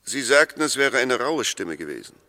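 A man's voice: medium pitch, big pitch swings, moderate pace, bright, forceful tone, no room sound, clean studio sound. A man acting an insistent, courteous but sceptical defence lawyer in a 1960s German radio drama, precise and argumentative. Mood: probing. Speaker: actor in a radio play.

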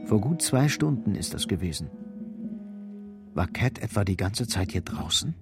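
A man narrates a radio drama in a low voice. His scripted delivery is grave and measured, with music clearly audible underneath. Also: sing-song.

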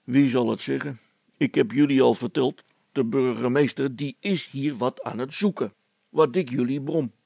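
Man acting a loud, gravelly, bossy village constable. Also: medium pitch, dry recording, pitched above the performer's own voice. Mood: bossy, assured. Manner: brisk, commanding, loud.

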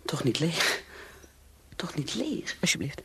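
Breathy, troubled alcoholic woman. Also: big pitch swings, slow, fairly bright tone, exaggerated voice. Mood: desperate, pleading. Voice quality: breathy, often whispering. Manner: confiding, wavering, highly emotional. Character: troubled alcoholic woman, self-doubting, desperate, craving peace. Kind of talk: radio play acting, intimate monologue.

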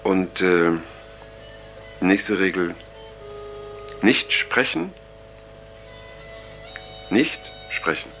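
Halting low-voiced young man. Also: sing-song, creaky, a bit bright, soft background music, full character voice, rough recording. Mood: casual, doubtful. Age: young adult. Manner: halting, hesitant, casual, many pauses. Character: earnest, uneasy young counterculture man taping a diary. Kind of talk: radio drama acting, improvised-sounding monologue.

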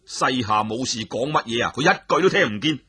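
A man telling a traditional story with expressive, animated narration. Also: medium pitch, brisk, breathy edge, no room sound, studio quality.

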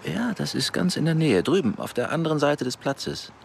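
Radio play bit part: helpful, courteous local gentleman, animated intonation, noticeable creak. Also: fairly high pitch, normal speed, slight background noise. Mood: friendly, helpful. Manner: polite, helpful. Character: friendly, courteous local Dutch gentleman helping a stranger. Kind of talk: radio drama dialogue.

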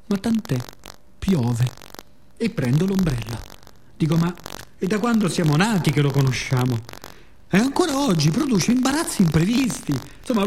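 A man performing an expressive, playful theatrical monologue for radio theatre. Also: high-pitched, a little hiss or hum. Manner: expressive, with playful spoken sound effects.

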